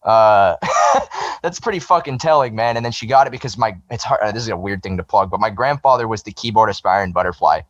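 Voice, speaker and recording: Fast-talking young man, dry close sound. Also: lowish voice, very animated, dry recording, muffled recording. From American South. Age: 20s. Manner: rambling, heavy swearing. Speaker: guest musician. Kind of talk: casual podcast chat.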